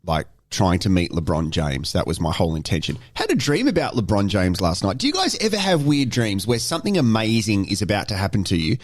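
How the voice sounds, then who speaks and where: A man with an Australian accent co-hosting a podcast, telling rambling anecdotes in casual, animated banter. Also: lowish voice, a little fast, hardly any pauses. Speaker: podcast co-host.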